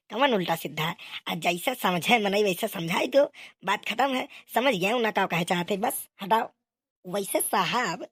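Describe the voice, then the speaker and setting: A woman's voice: medium pitch, breathy edge, dry recording, studio quality. A woman voicing bickering village policemen in a comic cartoon, with an eastern Uttar Pradesh accent and exclamatory, colloquial banter. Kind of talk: comic cartoon dialogue in rustic dialect.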